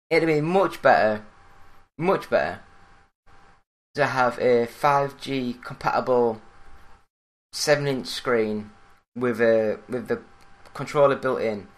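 Man, Yorkshire accent, monotone delivery, mid-pitched voice, solo podcast host. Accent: Yorkshire English. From Yorkshire, England. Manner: monotone, plain-spoken. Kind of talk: solo gaming news podcast.

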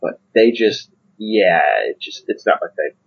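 A man's voice: lowish voice, unhurried, breathy edge, muffled recording. A man co-hosting a podcast, chatting casually about films in an easygoing, agreeable way. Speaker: podcast co-host. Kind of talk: casual film chat.

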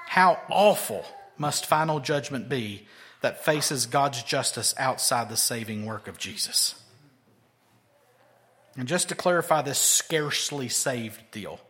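A man preaching an expository sermon, moving between loud, emphatic lines and quiet, low asides. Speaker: pastor.

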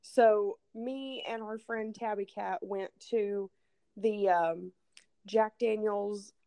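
A hoarse woman, casually telling a rambling, profane story as podcast host. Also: mid-range voice, fairly even intonation, slow, dark tone. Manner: rambling, swearing, laughing storyteller. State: hoarse, losing her voice from illness.

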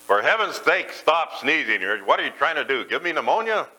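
A man voicing a husband who grows colder toward his sick wife, in a brisk, impatient manner. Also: fairly high pitch, sing-song, sharp tone, pitched above the performer's own voice, full character voice. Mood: mock concerned.

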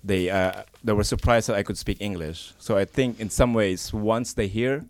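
A man's voice: fairly low pitch, normal speed, dry recording. A man, co-hosting a casual talk show, easygoing and a little hesitant. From born in the Philippines, raised in the USA. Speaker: co-host.

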